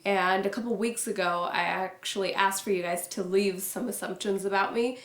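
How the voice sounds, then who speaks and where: Reserved young woman vlogger, chatty and low-key, recorded in an echoey room. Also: fairly even intonation, normal speed. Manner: soft-spoken, reserved, many fillers. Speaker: YouTuber. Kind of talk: casual chat to camera.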